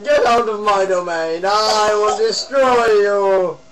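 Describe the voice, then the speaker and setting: Casting: man to play a grandiose talking bush, high voice, imperious proclamations, humming amateur recording. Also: slow, a bit bright. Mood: menacing, boastful. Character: almighty talking bush, pompous, commanding ruler of the street. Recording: noisy amateur recording with hum.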